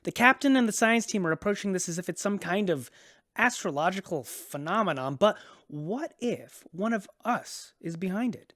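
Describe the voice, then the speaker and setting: A man's voice: high-pitched, expressive, moderate pace, a bit bright, no room sound, studio quality. An actor playing an officious, self-important male passenger activist in a sci-fi radio drama, speaking emphatically and suspiciously. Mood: conspiratorial, determined.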